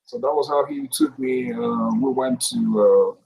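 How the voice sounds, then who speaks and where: A middle-aged man, a guest actor chatting casually on a video call, relaxed and jokey. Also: on the high side, measured pace, gravelly, low-quality recording. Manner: relaxed, laughs often, jokey. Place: indoors, room with curtains. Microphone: webcam mic on video call.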